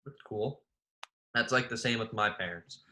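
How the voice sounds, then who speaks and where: Young man with a bright, fairly low voice. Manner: curious, friendly questions, quick filler-laden delivery. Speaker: podcast host. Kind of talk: casual interview chat.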